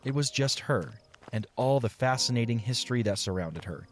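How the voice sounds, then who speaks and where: A man narrating an audio drama in a measured, expressive storybook style. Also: medium pitch, faint music behind.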